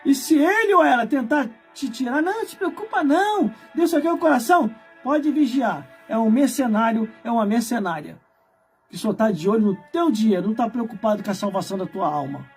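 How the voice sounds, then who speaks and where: A man in his forties, a preacher from Brazil, delivering a fiery sermon with shouted, scolding emphasis and heavy repetition. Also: extremely high pitch, lively intonation, fairly quick, slightly rough, soft background music. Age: 40s.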